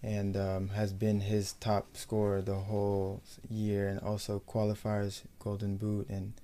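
A young man from the United States, a professional footballer, talking casually and matter-of-factly in a sports interview, in a measured way. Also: lowish voice, completely flat delivery, mellow. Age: young adult.

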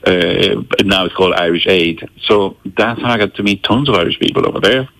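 A man from El Salvador speaking English as a radio guest, talking haltingly with many restarts and fillers. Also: sits low, creaky, a bit bright, low-quality recording. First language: Spanish. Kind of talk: radio interview.

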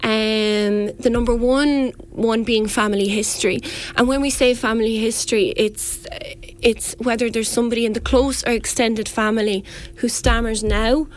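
A woman's voice: fairly high pitch, steady tone, normal speed, a little hiss or hum. A woman speech therapist explaining as a radio guest, earnest and full of restarts and fillers.

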